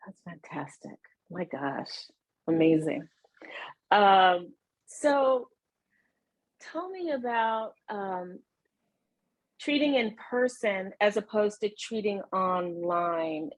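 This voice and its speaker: A woman hosts a friendly interview at a medium pitch. She keeps up a steady stream of soft agreement while her guest talks. Her questions are open and loosely worded. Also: slow pace, long pauses, low-quality recording. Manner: encouraging, frequent murmured agreement.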